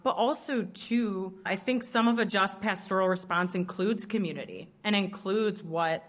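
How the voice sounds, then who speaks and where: A woman's voice: medium pitch, moderate pace. A young woman from a Chicago suburb with a strong American Midwest accent, chatting casually as an interview guest. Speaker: podcast guest, ministry co-founder. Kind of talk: casual conversational interview answers.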